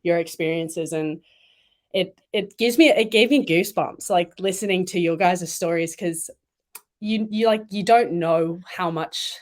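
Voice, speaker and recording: A woman's voice: fairly low pitch, unhurried. A young woman from Thailand and Malaysia, chatting casually as a guest in an interview, with lots of fillers. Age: young adult. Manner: chatty, relaxed, many fillers and "like"s. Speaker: guest swimmer.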